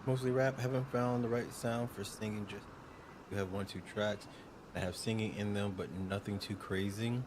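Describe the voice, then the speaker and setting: A young man streaming a game, chatting casually with viewers in a smooth, upbeat, joking way. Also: on the low side, fairly even intonation, moderate pace, noisy background. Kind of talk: casual livestream chat.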